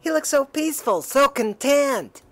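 A woman's voice: sits high, expressive, measured pace, background noise. A woman voicing an anxious, tender young woman from a comic book, with melodramatic delivery. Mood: worried.

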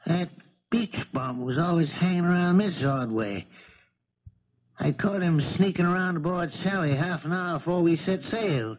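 A folksy old sea captain, higher-pitched. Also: male voice, moderate pace. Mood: bitter, defensive. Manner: folksy, ungrammatical working-man speech. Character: old charter boat captain, folksy, shaken and indignant. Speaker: radio drama actor. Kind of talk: 1970s radio drama acting. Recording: muffled vintage radio broadcast recording.

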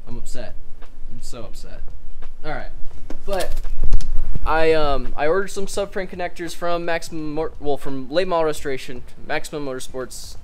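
A young man in his twenties talking casually and excitedly to a handheld camera in his garage and car. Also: fairly high pitch, moderate pace, soft background music, poor audio. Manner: excited, rambling, jokey. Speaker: car vlogger. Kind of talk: casual vlog chat. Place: garage, driveway and inside a car. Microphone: handheld camera at arm's length.

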